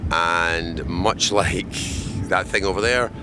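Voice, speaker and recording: Hoarse man joking to camera. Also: low-pitched, takes it slowly. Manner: wry, deadpan jokes. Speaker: vlogger. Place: outdoors at a desert festival. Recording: outdoor noise behind voice.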